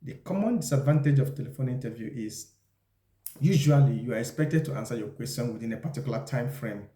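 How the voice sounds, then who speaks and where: Guy doing a how-to video, soft dark voice, explains stuff step by step, kinda echoey. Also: mid-range voice, fairly quick. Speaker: video presenter giving job interview advice. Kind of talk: instructional talk to camera. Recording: echoey, roomy sound.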